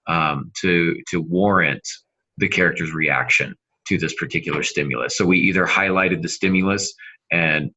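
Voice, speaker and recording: A man co-hosting a casual podcast discussion, speaking in a halting way with self-deprecating humor, on slightly dull audio. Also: deep voice, pushed and bright. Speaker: podcast co-host and novelist.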